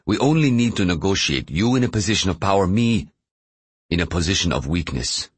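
A man's voice: low-pitched, expressive, fairly quick, husky, no echo. A man voicing a weary, conciliatory Russian president negotiating in an audiobook thriller. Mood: pleading, resigned.

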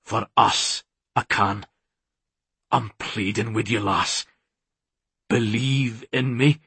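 A man playing an intense, devoted werewolf warrior from a romance audiobook, brusque and pleading, in a hoarse low murmur. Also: fairly even intonation, slow pace, sharp tone, dry recording, full character voice. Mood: pleading, tender.

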